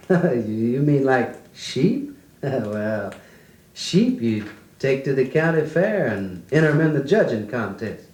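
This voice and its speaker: Kindly blacksmith uncle, folksy drawl, unhurried, animated pitch, radio drama, roomy old record with music. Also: male voice. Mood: easygoing, kindly.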